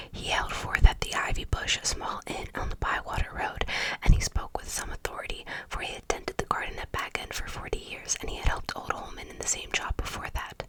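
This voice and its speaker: A reader whispering a storybook softly in ASMR style, breaking off now and then for casual chatty asides. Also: rough recording. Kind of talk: whispered ASMR book reading.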